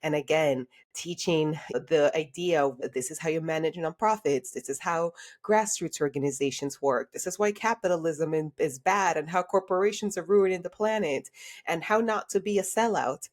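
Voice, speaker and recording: A woman's voice: low voice, moderate pace. A woman from Honduras who moved to the United States as a child, telling her own story as a podcast guest in a candid, informal and reflective way. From Honduras until age eight, then United States. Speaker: podcast guest, career coach. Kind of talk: conversational personal storytelling.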